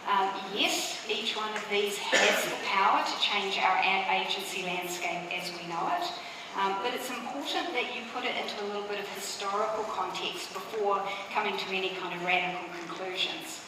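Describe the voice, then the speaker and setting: A middle-aged woman with a New Zealand accent gives a conference talk in a relaxed, chatty way, sprinkling in fillers and little asides. She is recorded in a big hall with heavy reverb. She sounds distant from the mic, and a clear room hum sits under her voice. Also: medium pitch, moderate pace. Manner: conversational, uses fillers and asides. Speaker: conference speaker.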